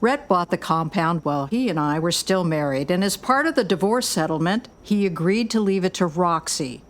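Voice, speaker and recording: A woman's voice: lowish voice, lively intonation, normal speed, lightly acted. An actress playing a tough, blunt American record label boss and worried mother, middle-aged, in an audio drama. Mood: curt, irritated.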